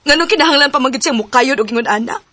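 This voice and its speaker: A woman's voice: high-pitched, rapid-fire, bright, forceful tone, dry recording. An actress playing a worried young woman pressing her partner about their relationship in a radio drama. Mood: anxious. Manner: pleading, questioning.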